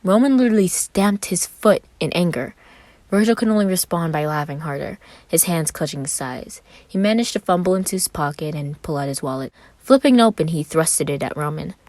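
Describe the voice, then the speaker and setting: A woman narrates a piece of fiction in a low-pitched voice, telling it expressively. The recording is dry and close, with little room sound. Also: expressive, a little fast, darkish tone, no room sound. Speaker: fan fiction reader.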